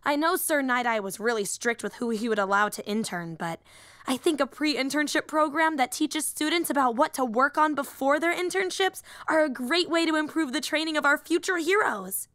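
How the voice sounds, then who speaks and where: A high-pitched, excitable young superhero played by a woman for an anime-style audio drama. She is a nerdy, earnest rambler who gets flustered, apologizes and cuts herself off. The recording is dry and close, with no room sound. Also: normal speed, no echo. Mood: earnest, enthusiastic. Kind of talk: roleplay audio drama acting.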